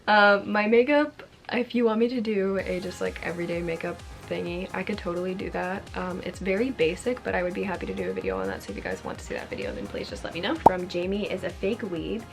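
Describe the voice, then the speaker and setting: A woman's voice: fairly low pitch, animated, quick pace, creaky, hardly any pauses, faint music behind. A young woman chatting casually in a vlog, answering fan questions with lots of "like" fillers and the odd swear word.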